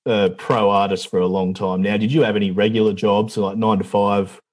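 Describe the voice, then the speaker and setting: A young Australian man hosting a casual interview, laid-back and matey, full of quick agreements. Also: lowish voice, steady tone, a little mellow. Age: young adult. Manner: laid-back, matey, lots of "yeah, right". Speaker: interview host.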